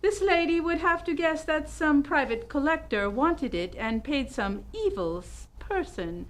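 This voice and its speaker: A woman's voice: high-pitched, lively intonation, unhurried. A woman playing a worldly, gossipy businesswoman in an old-time radio detective play, with a knowing, wisecracking delivery. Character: worldly gossipy businesswoman Madame Chang, salon owner.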